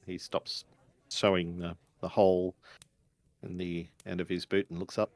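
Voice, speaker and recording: Male game master, deep and unhurried, improvising tabletop narration with a hesitant delivery. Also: little pitch movement, darkish tone, dry recording.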